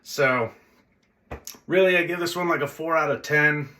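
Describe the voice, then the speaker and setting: Bright-voiced man casually reviewing movies. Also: on the high side, normal speed. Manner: blunt, conversational, rambling with tangents. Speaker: host of a movie review video channel. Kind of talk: casual unscripted film review commentary.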